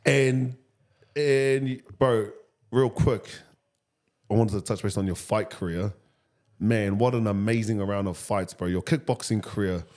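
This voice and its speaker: A man's voice: on the low side, measured pace, darkish tone. A man with a New Zealand accent hosting a casual interview, enthusiastic, matey and informal. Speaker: podcast host.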